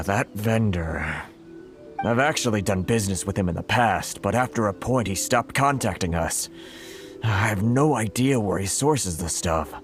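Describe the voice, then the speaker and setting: Low-voiced, weary, aggrieved merchant. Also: male voice, expressive, a little slow, soft background music. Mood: pensive. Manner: exasperated, sighing, explanatory. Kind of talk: video game dialogue acting.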